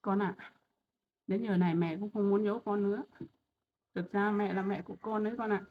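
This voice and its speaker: A woman's voice: mid-range voice, steady tone, a little fast, distant mic, lightly acted. A woman playing a remorseful mother. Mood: confessing. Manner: tender, softly pleading. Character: remorseful mother reunited with grown son. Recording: echoey, dull, from afar.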